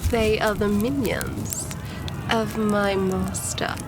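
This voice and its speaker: An actress playing a sinister villainess who commands demons in a fantasy audio drama, sardonic and condescending. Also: female voice, medium pitch, steady tone, very noisy, close to an everyday voice. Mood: haughty scorn. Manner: sardonic, condescending, drawn-out delivery. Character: mocking, sinister villainess commanding shadow demons for her master. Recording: loud music behind the voice.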